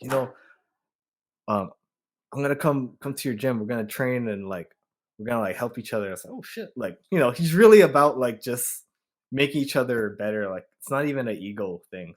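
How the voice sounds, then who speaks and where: A man from Hawaii chatting casually as a podcast host, telling a story in slangy speech and acting out what he was thinking. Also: medium pitch. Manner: slangy, animated storyteller who acts out his thoughts.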